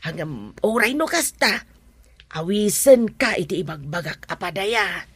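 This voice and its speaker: A proud, plain-spoken rural mother in an Ilocano radio drama. The voice is high and unhurried, with a husky, creaking grain. She is recorded dry and close. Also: big pitch swings, dry recording. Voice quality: raspy, creaky.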